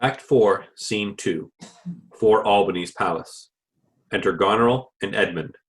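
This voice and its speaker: A man's voice: lowish voice, unhurried, low-quality recording. A man reading stage directions plainly from a script.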